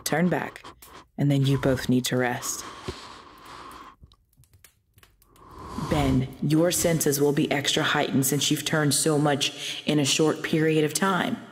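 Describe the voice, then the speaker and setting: Low-voiced, commanding woman mentor, acted out. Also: a little hiss or hum. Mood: firm, brisk. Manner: deliberate, theatrical, imperious. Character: commanding, taunting female supernatural mentor, menacing then caring. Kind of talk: dramatic audio roleplay acting. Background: soft background music.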